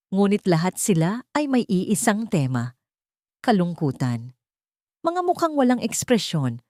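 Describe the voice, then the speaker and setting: Low-voiced woman narrating with lively swings. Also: dry recording, clean studio sound. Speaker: audiobook narrator. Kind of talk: dramatic scripted storytelling.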